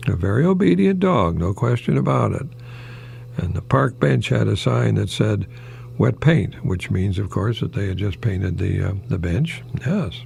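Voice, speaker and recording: An older American man, a retired professor, giving a folksy, rambling radio monologue in a gravelly, husky voice with pauses mid-phrase. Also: lowish voice, lively intonation, darkish tone, slight background noise. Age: older, retired, 60s or above. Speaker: retired English professor hosting a radio program.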